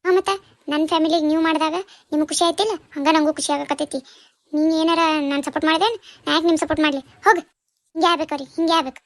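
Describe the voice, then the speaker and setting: A woman plays a spiteful relative who gloats over family quarrels. The voice is high and quick with little melodic swing. She taunts smugly in a North Karnataka accent, in family drama style. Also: steady tone, dry recording, studio quality. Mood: smug taunting. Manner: taunting, smug.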